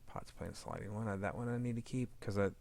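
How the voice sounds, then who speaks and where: A man's voice: on the low side, fast talker, gravelly, darkish tone. A man talking casually through a sound-editing demo as he works, often muttering to himself. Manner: mumbles file labels to self while working. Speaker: fan audio drama sound editor. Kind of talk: casual screen-recorded editing demo, talking while working. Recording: faint background noise, clicking.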